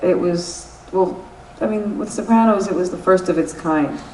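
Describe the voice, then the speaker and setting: A woman's voice: fairly low pitch, normal speed, a little mellow, slight background noise. A woman with a New York accent giving a candid, laughing convention interview and swearing freely. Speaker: guest actress. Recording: echoey convention room, mic at a distance.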